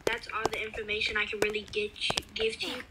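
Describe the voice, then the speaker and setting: A woman's voice: medium pitch, completely flat delivery, fast. A young girl from California, co-hosting a gaming channel in casual gameplay chat, rambling and often dropping to a whisper. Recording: loud background noise over game audio.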